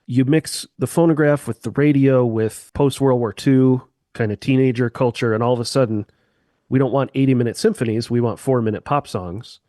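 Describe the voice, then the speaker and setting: A man's voice: mid-range voice, steady tone, normal speed, warm tone, no room sound. A man, a musician guest on a chat podcast, explaining eagerly with sweeping comparisons into a close mic.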